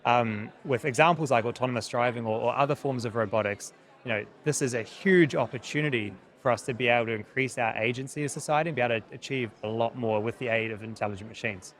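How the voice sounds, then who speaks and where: A man's voice: medium pitch, fast talker, fairly bright tone. Earnest New Zealand man being interviewed. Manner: earnest, hesitant with repeated words. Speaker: technology company founder, interview guest. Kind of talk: conversational interview answers.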